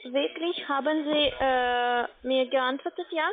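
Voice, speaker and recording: High-voiced, insistent woman on a phone line. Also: fairly even intonation, slow, crowd noise. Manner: insistent, repetitive questioning. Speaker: call-center agent. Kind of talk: phone conversation. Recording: thin narrow telephone line.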